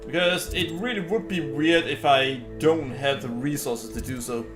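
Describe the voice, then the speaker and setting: A man giving casual game commentary as a streamer, thinking aloud in a halting way. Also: a little high. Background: game music.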